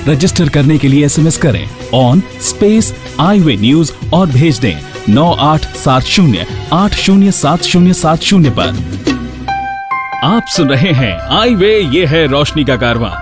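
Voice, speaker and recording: A male radio host with a fairly high voice and very animated intonation reads scripted narration. Music with guitar plays clearly behind him. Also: a little slow. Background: background music with guitar.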